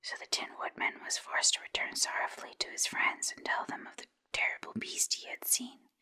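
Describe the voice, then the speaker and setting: Woman, whispered storybook narration, breathy, unvoiced, bright and sibilant, ASMR style. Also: moderate pace. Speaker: storybook reader.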